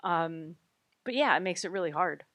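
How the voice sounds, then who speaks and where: Low-voiced woman answering with many fillers. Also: expressive, fairly bright tone, no room sound. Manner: halting, full of fillers and restarts. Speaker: film director. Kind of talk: Q&A panel answers. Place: cinema auditorium.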